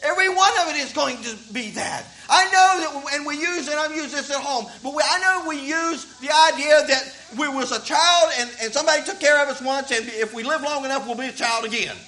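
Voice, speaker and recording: Man, fifties, preaching, folksy, stumbles on his first words, very high voice, bright. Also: a little fast, hardly any pauses, low-quality recording. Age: 50s. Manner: folksy, repeats words when starting a thought. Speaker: pastor. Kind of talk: sermon.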